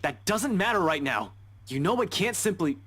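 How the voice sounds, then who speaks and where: A man's voice: high-pitched, big pitch swings, normal speed, fairly bright tone, husky, full character voice. An actor voicing a skeptical, troubled young man in a visual novel, defensive and exasperated. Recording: game dialogue over music. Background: game music.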